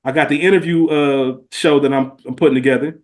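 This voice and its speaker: A man's voice: on the high side, steady tone, a little fast. A man co-hosting a podcast in casual, heartfelt conversation, speaking earnestly in informal, slangy language and asking probing questions. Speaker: podcast co-host.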